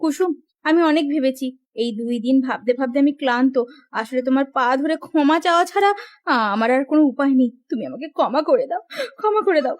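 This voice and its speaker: A humbled young sister-in-law in a family cartoon, played by a woman. Her voice is high and earnest, with a pleading, remorseful manner. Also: animated, quick pace. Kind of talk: Bengali moral cartoon voice acting.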